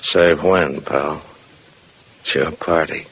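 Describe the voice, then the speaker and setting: A man's voice: rumbling low, fairly even intonation, slow, a bit bright, heavily acted. An American actor playing a menacing gangster killer in a vintage radio crime drama, taunting in slangy tough-guy talk. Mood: gloating, taunting. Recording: dull, noisy vintage radio recording.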